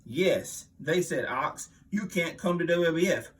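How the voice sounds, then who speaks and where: A man performs a veteran wrestling heel with a somewhat high voice, set back from the microphone. He is mean and full of bluster, bragging and griping in the style of a wrestling promo interview. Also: animated, measured pace, distant mic. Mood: aggrieved, menacing. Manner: boastful, blustering, aggrieved. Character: boastful, mean veteran pro wrestler villain, male, older. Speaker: reader voicing an interviewed wrestler. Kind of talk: dramatic magazine interview reading, wrestling promo.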